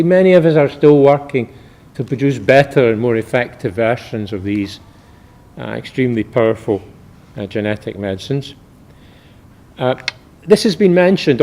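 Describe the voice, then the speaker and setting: A man, a medical researcher, giving a public academic lecture in a measured, informal explaining manner. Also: mid-range voice, mellow.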